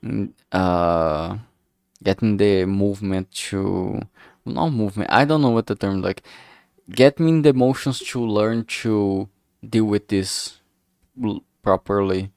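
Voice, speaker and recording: A man talking casually while working on a solo stream, halting and rambling, often trailing off mid-sentence and swearing freely. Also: fairly low pitch, a little mellow, smooth, no room sound, clean studio sound. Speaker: solo streamer developing a visual novel. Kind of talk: casual work-along stream monologue.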